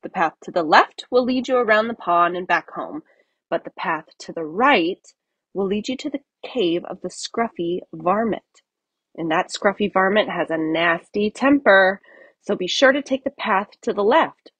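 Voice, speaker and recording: A woman's voice: mid-range voice, animated, measured pace, fairly bright tone, slightly rough, no echo, muffled recording. A woman voicing bunny parents in a children's storybook, instructing their child emphatically and patiently. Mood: warning. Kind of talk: children's storybook read-aloud.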